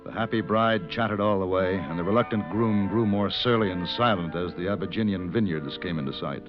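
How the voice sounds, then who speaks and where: An actor playing a cool, mature American hired gun in a vintage radio western, measured, dry and teasing. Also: male voice, low-pitched, over music, natural voice. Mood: wry narration. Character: cool, wry hired gunman, a mature man. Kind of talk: 1950s radio western acting and narration. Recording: dull vintage radio recording.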